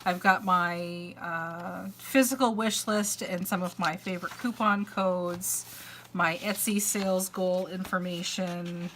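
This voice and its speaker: A middle-aged woman chatting at home, congested with a cold and a dry cough, rambling through her thoughts with frequent uhs and false starts. Her voice sits a little low but comes across bright and forceful. A faint hiss of background noise runs under the home recording. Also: fairly even intonation, slow. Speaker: crafter and vlogger. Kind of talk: long casual chat. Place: at home, indoors.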